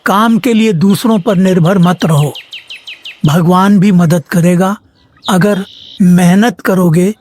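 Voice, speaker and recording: A man's voice: high-pitched, slow. Man acting a kindly wise old village grandfather. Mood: kindly advice. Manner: gentle, advising. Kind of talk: scripted animated moral story dialogue.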